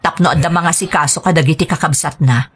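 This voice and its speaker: A woman playing a careworn, pleading mother in a radio drama, with a husky voice and an Ilocano accent. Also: low voice, fast. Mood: worried, resolute. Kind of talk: Filipino radio drama acting. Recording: music bed under dialogue. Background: music.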